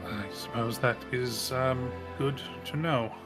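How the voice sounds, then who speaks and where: Middle-pitched man roleplaying over music. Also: steady tone, slow. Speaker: tabletop roleplaying player. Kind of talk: casual roleplay and game chat. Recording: clear music behind the voice. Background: background music.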